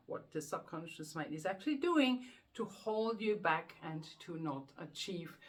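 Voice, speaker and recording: A woman with a Swiss accent, a hypnotherapist explaining the subconscious mind to camera in an earnest, repetitive way with rhetorical questions. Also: fairly low pitch. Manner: earnest, repeats words while thinking, rhetorical questions. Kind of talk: self-help explainer to camera.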